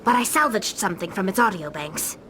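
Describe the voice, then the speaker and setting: A woman's voice: medium pitch, animated, a little fast, background noise, lightly acted. An actress playing a young alien pilgrim in a video game, earnestly explaining what she has learned. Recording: loud music behind dialogue. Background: music.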